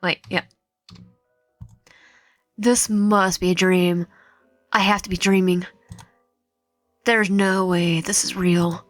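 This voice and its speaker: A woman's voice: lowish voice, flat delivery, slow, pauses often, no room sound. A woman voicing a bewildered, sceptical dreamer in a visual novel, with an incredulous manner. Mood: confused. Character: bewildered, sceptical dreamer pulled into a fantasy world. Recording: music behind the voice.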